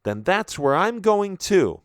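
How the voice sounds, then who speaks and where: A man reading a story aloud, voicing a defiant, hot-tempered teenage girl in a raised, shrill voice. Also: big pitch swings, slow pace, dry recording, clean studio sound, full character voice. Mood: shocked.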